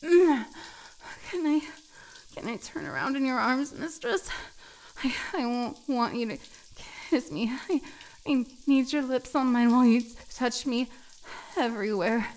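A woman playing a submissive, needy cat girl in an intimate adult audio roleplay, halting and breathy as she pleads. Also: high-pitched, animated, a little mellow, slight background noise. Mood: needy, breathless.

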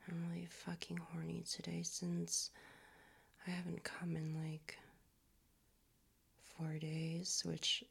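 A young man whispering softly into a close mic, his voice raspy and a little hoarse from a recent cold. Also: fairly high pitch, completely flat delivery. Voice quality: raspy, strained.

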